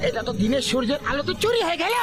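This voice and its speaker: A man voicing a quick-witted, fretful cartoon sidekick who corrects and exclaims. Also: very high voice, big pitch swings, loud music behind the voice. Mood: dismayed, wry. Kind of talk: exaggerated cartoon dub acting.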